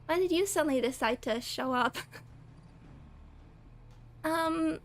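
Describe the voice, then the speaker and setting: A woman's voice: high-pitched, takes it slowly. A young woman streaming a game and chatting casually with viewers, a little scratchy from a cold, often laughing. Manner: chatty, giggly, greets viewers by name. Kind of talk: casual live-stream chat while gaming.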